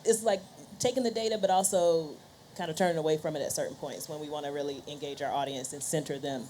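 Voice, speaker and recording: A woman's voice: low voice, steady tone, fast talker, mellow, a little hiss or hum. A woman speaking conversationally as a panelist in a discussion. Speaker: panelist, podcast host and scientist.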